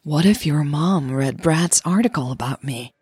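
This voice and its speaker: A woman's voice: unhurried, a little mellow, breathy edge, no room sound, clean studio sound, heavily acted. A woman voicing an anxious, earnest, slightly older girlfriend in a romance audio drama, speaking hesitantly. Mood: alarmed. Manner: hesitant, earnest, low-key.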